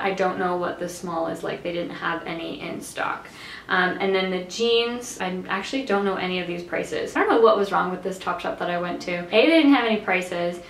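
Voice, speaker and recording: Casual vlogger, woman in her twenties, mid pitch, a little nasal and raspy, chatty and rambling; roomy bedroom sound with faint hiss. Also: fairly bright tone. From Canada. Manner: chatty, rambling, lots of "like" fillers. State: slightly nasal and raspy from allergies. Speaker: fashion vlogger. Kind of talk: casual try-on haul chat. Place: bright bedroom.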